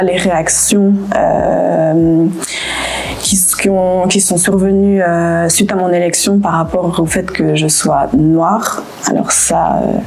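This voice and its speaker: A woman's voice: on the low side, darkish tone, a little hiss or hum. A woman in her twenties from Canada, speaking candidly as an interview guest, with frequent hesitations and restarts. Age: 20s. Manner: hesitant, many fillers and restarts.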